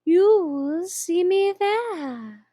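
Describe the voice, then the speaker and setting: A woman voicing a sly, teasing cat in a storybook reading, drawing out her words slowly. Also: very high voice, animated, warm tone, dry recording, studio quality, pitched above the performer's own voice, heavily acted. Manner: slow, drawn-out, teasing delivery.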